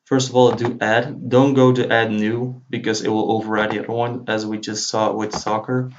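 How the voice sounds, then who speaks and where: A man whose native language is Dutch, giving an informal screen-recorded software tutorial as he walks through each step. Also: on the low side, little pitch movement, moderate pace. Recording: dull lo-fi mic, faint noise. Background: computer keyboard typing and clicking.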